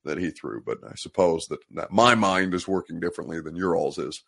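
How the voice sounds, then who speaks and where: A man's voice: sits low, brisk, gravelly, slightly rough. A young adult man hosting a live sports talk show with a stuffy, congested voice, ranting with exasperated sarcasm and reading out viewer comments. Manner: exasperated, mocking, animated rants with sarcastic quoted voices.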